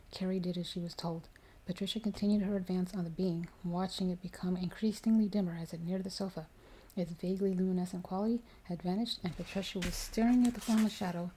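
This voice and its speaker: Mellow, low-pitched woman reading softly. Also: low-quality recording. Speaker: ASMR storyteller reading aloud. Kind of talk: ASMR reading of a horror story.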